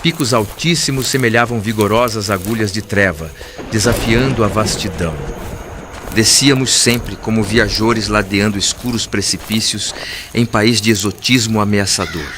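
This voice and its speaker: A man narrating a literary audiobook in a formal, earnest, measured way. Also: fairly low pitch, background noise. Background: clear background music.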